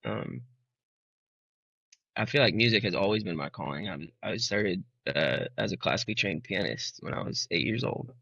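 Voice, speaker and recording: A man from Arizona, a musician guest, answering interview questions in a halting, emotional way with many fillers. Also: low voice, animated, vocal fry, pushed and bright, husky, no room sound. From Arizona, United States. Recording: dull, muffled sound.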